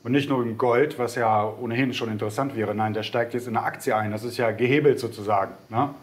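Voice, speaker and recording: Man, co-host, eager, opinionated, mid pitch. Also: fairly even intonation, fast. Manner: enthusiastic, bold opinions, frequent "ne?" tags. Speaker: co-host of a broker's market show. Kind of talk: casual market chat.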